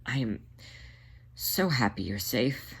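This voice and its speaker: A man's voice: medium pitch, expressive, slow pace, gravelly, a bit bright, slightly rough, exaggerated voice. A man voicing a worried male cat in a story, urgently caring for his brother, strained and pleading. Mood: relieved, scolding.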